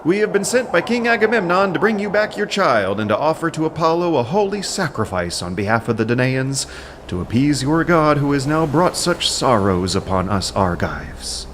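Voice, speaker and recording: Guy as a silver-tongued envoy, raised pitch, formal declaiming, audio drama style. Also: very animated, moderate pace, slight background noise. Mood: formal, conciliatory.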